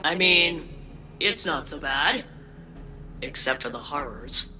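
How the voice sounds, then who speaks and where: A woman's voice: sits low, expressive, slow, pushed and bright, soft background music, exaggerated voice. A woman voicing a gloomy, sardonic female ship AI in a video game, speaking in casual understatement. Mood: dry sarcasm. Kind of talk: video game character acting.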